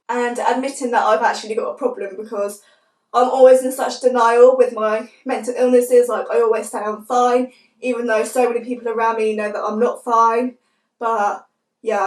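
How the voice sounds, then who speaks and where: A young woman vlogging from her bedroom, her voice croaky and nasal from a cold, talking softly and rambling with lots of fillers. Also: on the high side. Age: young adult. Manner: soft-spoken, rambling, lots of "like". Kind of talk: casual to-camera chat. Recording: roomy echo, mic at a distance.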